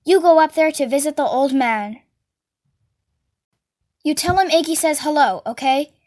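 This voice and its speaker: A woman voicing a gruff, scornful tattooed tough man in a storybook, curt and sneering. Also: high-pitched, animated, a little slow, darkish tone. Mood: menacing.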